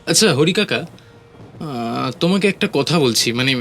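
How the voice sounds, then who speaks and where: A man's voice: fairly high pitch, lively intonation, measured pace, a bit bright, faint music behind. A man playing a curious, polite city visitor who speaks hesitantly in an audio horror story. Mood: hesitant curiosity.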